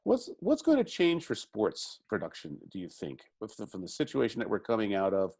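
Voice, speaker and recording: A man's voice: lowish voice, wildly swooping pitch, fairly quick, darkish tone. A man asking questions as the interviewer in a conversational video-call interview.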